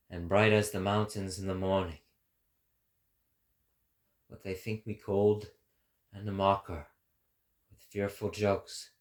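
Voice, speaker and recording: A man narrates philosophical literature as a dramatic reading. His delivery is very slow and measured, with a hushed, grave tone held low beneath full voice.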